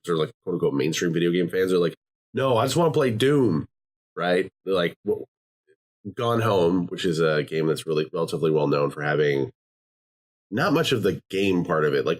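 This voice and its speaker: A man hosting a podcast, talking casually about games and rambling with many fillers and restarts. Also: low voice. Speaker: podcast host. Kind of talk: casual chat.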